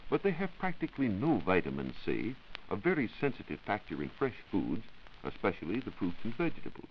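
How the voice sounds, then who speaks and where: Deep voiced american man, formal 1940s radio science talk, muffled old sound. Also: slight background noise. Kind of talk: 1940s scripted radio lecture.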